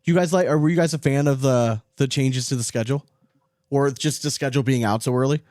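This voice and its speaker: A man's voice: medium pitch, fast talker, a little mellow, dry recording, studio quality. A man hosting a podcast in a casual, conversational sports chat. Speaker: podcast host.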